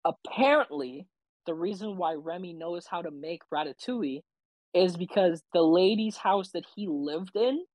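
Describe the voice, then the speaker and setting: A woman's voice: lowish voice, lively intonation, a little mellow, no room sound, rough recording. Young woman rambling in a dry, close sound. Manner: rambling, filler-heavy, quick bursts. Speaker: podcast co-host. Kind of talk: casual chat.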